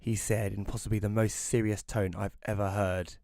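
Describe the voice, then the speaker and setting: A man's voice: lowish voice, little pitch movement, moderate pace, darkish tone, dry recording, natural voice. A man playing a self-doubting young magazine columnist who narrates an audio drama in a wry, hesitant manner. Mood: wry narration. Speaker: narrator and lead character. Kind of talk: first-person audio drama narration and dialogue.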